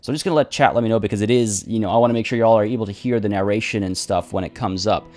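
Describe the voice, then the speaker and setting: A fairly low-voiced man streaming a game, chatting loosely to his viewers. His voice sounds slightly rough from the morning, and he rambles and restarts his sentences often. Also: rapid-fire, darkish tone. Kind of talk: casual live-stream chat.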